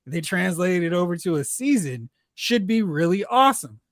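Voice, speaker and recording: A host chatting casually about pop culture, retelling reactions in slangy, animated asides. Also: medium pitch, normal speed, no room sound, clean studio sound. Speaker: host of a film and TV discussion show.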